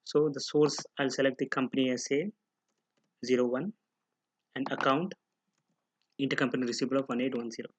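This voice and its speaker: A man narrates a software tutorial as an instructor, explaining step by step and often posing questions that he then answers. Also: mid-range voice, steady tone, fairly quick, pauses often, no echo. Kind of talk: screen-recorded tutorial narration. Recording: noticeable background noise with clicking.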